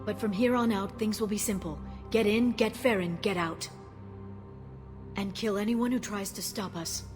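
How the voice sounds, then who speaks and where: A woman's voice: mid-range voice, normal speed, a little mellow, lightly acted. An actress playing a determined, guilt-burdened alien woman in a video game, speaking in an earnest, clipped, serious way. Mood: grim resolve. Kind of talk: dramatic video game voice acting. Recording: music behind the voice. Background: game soundtrack music.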